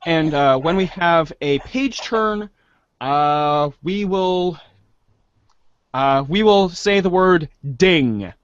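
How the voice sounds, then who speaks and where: A man casually hosting a live-streamed reader's theater, talking in a halting, hesitant way. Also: a little high, clean tone, no room sound. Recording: dull, dry lo-fi mic.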